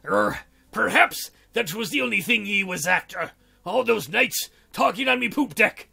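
A man voicing a gruff, wounded pirate captain from a visual novel, with arrs and old sailor talk. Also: high voice, lively intonation, moderate pace, bright, forceful tone, exaggerated voice. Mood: bitter, resigned. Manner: growled pirate "arr" and "ye". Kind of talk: visual novel role reading.

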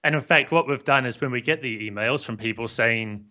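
Man, New Zealand accent, 50s, measured, crisp bright tone, slightly lo-fi home office recording. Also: mid-range voice. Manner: measured and friendly, explains plainly. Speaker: guest, software founder. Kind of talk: casual interview chat. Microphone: studio mic on boom arm, headphones. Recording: slightly dull lo-fi audio.

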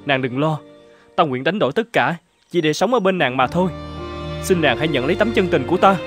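A man playing a lovestruck young celestial prince in an animated fairy tale, earnest, theatrical and pleading. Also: a little high, brisk, music in the background, close to an everyday voice. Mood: tender, earnest.

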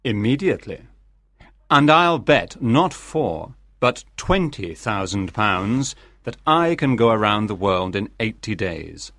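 An actor playing a calm, formal, coolly confident English gentleman in a dramatized audiobook, speaking exactly and with measured care. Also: on the low side, no echo, clean studio sound.

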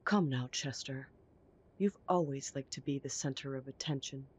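A woman's voice: very deep voice, normal speed, a little hiss or hum. A woman playing a snobbish young werewolf heiress in an audio drama, with a prim, cutting, disdainful way of talking. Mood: scornful, accusing. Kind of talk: audio roleplay drama acting.

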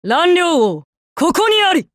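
A woman's voice: very high voice, sing-song, takes it slowly, no room sound, clean studio sound, exaggerated voice. A woman voicing a courteous, gallant young male masked general in a video game, speaking in formal, noble martial declarations. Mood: spirited, commanding. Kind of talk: Japanese game battle voice lines.

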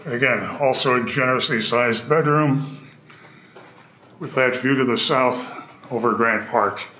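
A man narrating a walkthrough of an empty apartment, describing each room in an upbeat real-estate pitch. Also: unhurried, bright, forceful tone, a little hiss or hum. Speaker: apartment tour host. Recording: echoey empty rooms.

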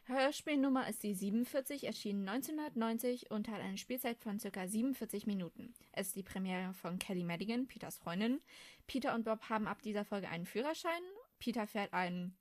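A woman's voice: mid-range voice, brisk, no echo. A woman co-hosting a podcast in casual, colloquial and blunt chat. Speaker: podcast host.

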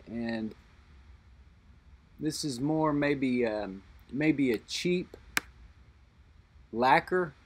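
A middle-aged man speaks at an unhurried, deliberate pace, thinking aloud about an old lighter in his hand. His voice sits at an ordinary middle pitch. He pauses and backtracks as he weighs what he sees. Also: slight background noise. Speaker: lighter collector and reviewer. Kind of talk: unscripted show-and-tell narration.

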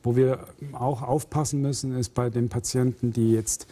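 A man's voice: medium pitch, fairly even intonation, moderate pace, mellow. A man in his fifties, a medical expert guest explaining things conversationally in a studio interview. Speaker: hand surgeon, expert guest. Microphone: clip-on lapel mic.

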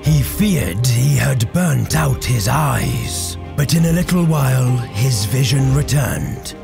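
A man playing a grave, impassioned warrior orator in a theatrical, measured audio drama monologue over music. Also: medium pitch, expressive, creaky, full character voice. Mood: awed. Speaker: voice actor.

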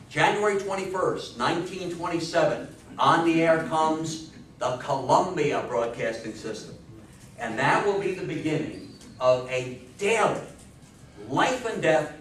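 Male American history lecturer, emphatic, higher-than-average pitch, distant mic with faint background noise. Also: a bit bright. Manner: emphatic, asks rhetorical questions. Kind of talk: informal public history talk. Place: small indoor meeting room with seated audience. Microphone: distant camera microphone. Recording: echoey room, far microphone, faint hiss.

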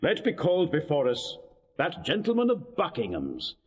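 An actor playing a commanding, proud king in a classical verse audio drama, with a husky voice. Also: fairly high pitch, wildly swooping pitch, normal speed, muffled recording, full character voice. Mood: grateful, regal. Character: commanding king, proud, easily roused to indignation.

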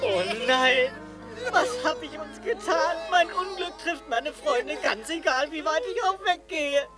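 A performer voicing a gullible, self-pitying little male gnome in a cartoon, plaintive and drawn-out. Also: sits high. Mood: despairing. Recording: faint music behind.

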